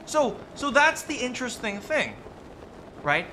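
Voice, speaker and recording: Lively high-voiced man rambling casually. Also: unhurried, a little hiss or hum, low-quality recording. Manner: rambling, frequent restarts and "right?" tag questions. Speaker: streamer discussing game lore with chat. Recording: faint music behind.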